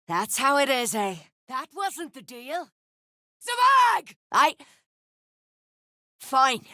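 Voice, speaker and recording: A tough dwarven mercenary woman from a fantasy game, with a sharp, forceful and fairly high voice. She is greedy, blunt and threatening, and takes her time over coarse words. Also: no echo, clean studio sound, full character voice. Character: tough, foul-mouthed, greedy female duergar mercenary. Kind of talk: video game character dialogue.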